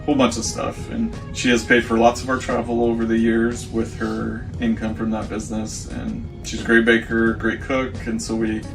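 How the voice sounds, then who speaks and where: A man's voice: mid-range voice, completely flat delivery, normal speed, few pauses. A man from Oklahoma, a travel advisor, chatting casually in an easygoing, rambling way about his family's travels. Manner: easygoing, rambling, self-described shy on camera. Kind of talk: casual chat. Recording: distant mic with music behind.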